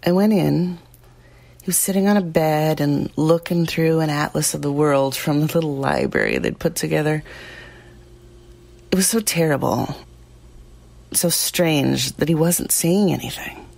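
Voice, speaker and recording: An actress playing a plain-spoken, guilt-ridden small-town woman giving halting, confessional testimony in an audio drama. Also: sits low, animated, creaky, darkish tone, full character voice. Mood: troubled, halting.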